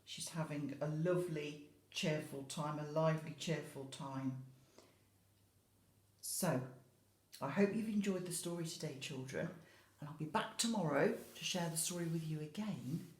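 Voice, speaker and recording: British female infant teacher, lowish voice, expressive word emphasis, child-directed lesson delivery, roomy echo. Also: measured pace. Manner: expressive, emphatic on key words, addressing children with tag questions. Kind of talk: educational talk to young children about a picture book. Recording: roomy, echoey home-style recording.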